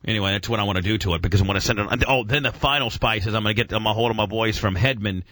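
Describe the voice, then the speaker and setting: Bright, forceful man rambling in gearhead talk. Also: fairly low pitch, rapid-fire, no room sound. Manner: rambling, enthusiastic gearhead jargon, mild swearing. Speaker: radio show host. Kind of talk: casual motor-head radio chat.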